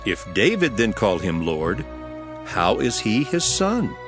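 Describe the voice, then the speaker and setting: A man's voice: fairly low pitch, very animated, slow, music in the background, heavily acted. An emphatic, authoritative male teacher. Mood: solemn teaching. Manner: solemn, emphatic, declamatory. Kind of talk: dramatized Bible reading.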